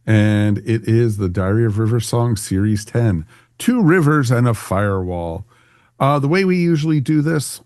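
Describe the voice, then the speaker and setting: A man's voice: fairly low pitch, a little mellow, no room sound, clean studio sound. A man hosting a podcast in a casual, jokey, informal way. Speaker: podcast host.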